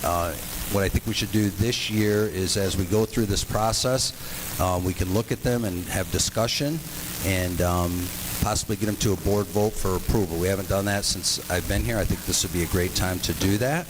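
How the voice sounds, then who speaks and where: Man, raspy voice, lowish pitch, meeting-room report, casual with fillers, hissy recording. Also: moderate pace. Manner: relaxed, filler-laden speaking. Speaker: school superintendent. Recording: steady background hiss.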